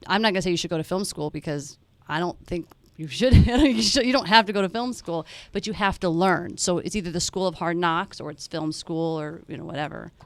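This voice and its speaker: A woman director speaks with emphatic conviction, tossing off quick asides as she explains her craft. Her voice sits on the low side, recorded close and completely dry. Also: no room sound. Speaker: film director. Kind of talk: conversational advice to teens.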